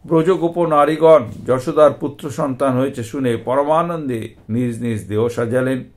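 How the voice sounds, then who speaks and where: A man giving a scripted devotional reading of scripture, with deliberate, measured phrasing broken by short pauses. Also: mid-range voice. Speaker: scripture reader and presenter.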